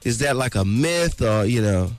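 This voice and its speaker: A man's voice: mid-range voice, animated, normal speed, soft background music. A man chatting casually as a studio guest, informal and often repeating his words as he goes. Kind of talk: casual chat.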